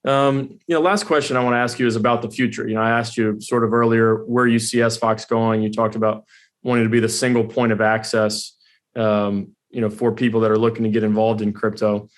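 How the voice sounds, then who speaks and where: A man's voice: lowish voice, level tone, a little fast. A man hosting an interview show, asking long, winding questions. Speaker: podcast host.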